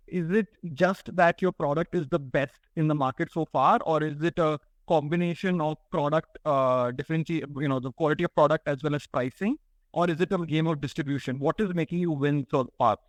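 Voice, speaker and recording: A man's voice: on the high side, a little fast, no echo. A man, an analyst on an earnings call, asking direct, probing questions.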